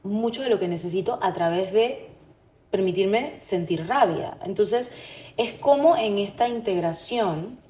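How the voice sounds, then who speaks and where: Mellow-voiced woman in an echoey room. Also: mid-range voice, animated, unhurried. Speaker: podcast co-host. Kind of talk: reflective personal conversation.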